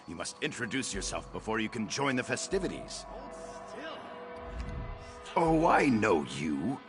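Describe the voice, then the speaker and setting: A man's voice: on the low side, big pitch swings, measured pace, over music. A man playing a rapturous, fawning nobleman in a video game, effusive, theatrical and lofty in his delivery. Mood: giddy welcome.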